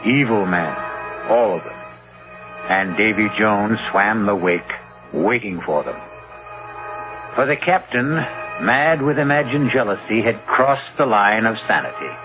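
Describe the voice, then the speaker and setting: A man hosting a 1970s radio mystery, narrating in a measured, ominous and theatrical way. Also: on the low side, animated. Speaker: host and narrator. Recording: muffled narrow-band old radio broadcast. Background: music.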